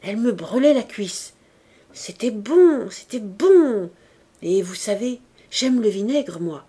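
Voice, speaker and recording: A woman's voice: mid-range voice, animated, slow pace, warm tone, breathy edge. Woman doing a naive slovenly young maid. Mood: artless. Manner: artless, earnest chatter.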